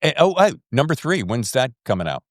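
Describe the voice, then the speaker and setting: A man's voice: medium pitch, sing-song, brisk, no echo. A middle-aged man hosting a podcast in casual, enthusiastic and effusive interview chat, speaking close to the microphone. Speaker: podcast host.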